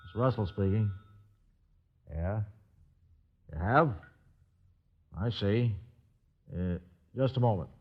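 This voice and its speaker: An actor playing a composed, clipped and matter-of-fact police superior in an old-time radio drama. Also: male voice, on the low side, slow pace, pauses often, husky. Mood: businesslike. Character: businesslike male police superior, fair and composed. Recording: dull, lo-fi old radio recording.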